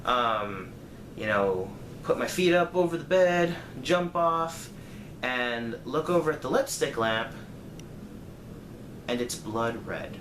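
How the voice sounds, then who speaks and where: A man's voice: mid-range voice, lively intonation, slow. A man telling a personal story casually, in a rambling, conversational way with dramatic emphasis. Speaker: storyteller vlogger. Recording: echoey room, clear background noise.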